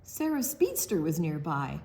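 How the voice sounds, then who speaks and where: A woman reading a picture book aloud to young children, animated, pausing to explain things simply and ask questions. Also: deep voice. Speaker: children's story time reader.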